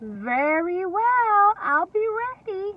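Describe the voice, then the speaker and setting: A woman's voice: very high voice, very animated, takes it slowly, distant mic, lo-fi sound, voice pushed up, exaggerated voice. A woman voicing a small, cheeky little pig in a sing-song storybook manner. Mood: agreeable.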